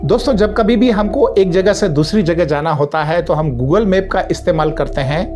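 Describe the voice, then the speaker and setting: A man narrates an explainer video over clearly audible background music. He follows a script and speaks to viewers directly, setting things out step by step in an instructional manner. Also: sits high, normal speed. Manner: explanatory, addresses viewers as friends. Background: prominent background music.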